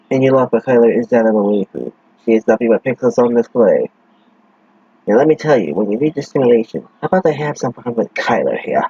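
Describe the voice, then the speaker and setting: A husky, mid-pitched male villain, acted by a man who toys with his victim. He is mocking, crude and theatrical in an amateur audio drama, recorded dry and a little dull. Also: darkish tone, no echo.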